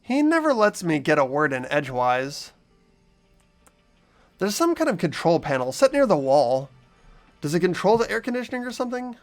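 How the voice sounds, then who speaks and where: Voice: mid-range voice, very animated, moderate pace, long pauses, faint music behind, natural voice. A performer voicing a wary, bewildered teenage schoolboy who questions things incredulously in a fan-voiced game. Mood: exasperated. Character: bewildered, wary teenage schoolboy protagonist, sarcastic inner narrator.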